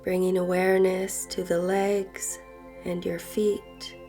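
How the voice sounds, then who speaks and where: A woman leading a guided meditation in a soft voice that turns breathy at phrase ends, gentle and unhurried with long pauses. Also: lowish voice, little pitch movement. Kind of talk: guided meditation, slow and soothing narration. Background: soft background music.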